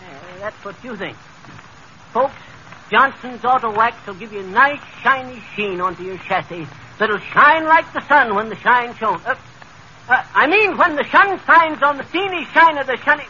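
A cocky, folksy American windbag from an old radio comedy. His very high, piercingly bright voice trips over its own words, on a muffled, noisy vintage broadcast. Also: animated, moderate pace, exaggerated voice. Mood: cocky, flustered. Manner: tongue-twisted, chuckling, cocky. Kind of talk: 1930s radio comedy acting.

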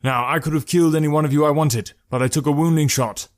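A man's voice: mid-range voice, lively intonation, fast talker, darkish tone, close to an everyday voice. A man reads a confident male archer in a fantasy audiobook. He speaks in a commanding, curt way with a dry, scornful edge.

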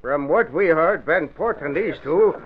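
A man's voice: a little high, expressive, a little slow. A man playing a bossy, hearty police sergeant, blunt and commanding, in an old radio drama. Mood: brisk, triumphant. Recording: muffled, noisy vintage radio.

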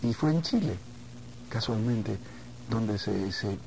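A mature man from Argentina reminiscing in a conversational interview, haltingly, repeating words as he searches for the next. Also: lowish voice, animated, creaky, a little mellow, slight background noise, rough recording. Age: middle-aged or older. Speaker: singer being interviewed.

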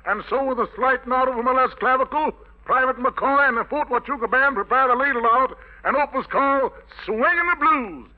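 An American man emceeing a 1940s radio variety show, mixing jive slang with mock-highbrow wordplay. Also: brisk. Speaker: radio show host, master of ceremonies. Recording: dull, lo-fi vintage radio recording.

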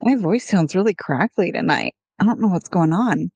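A woman with a slightly low voice hosts a podcast in a laid-back manner. She talks casually, and the sound is close and dry, with little room around it. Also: expressive, moderate pace, darkish tone, slightly rough, no echo. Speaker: podcast host. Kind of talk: casual podcast chat with some scripted intro.

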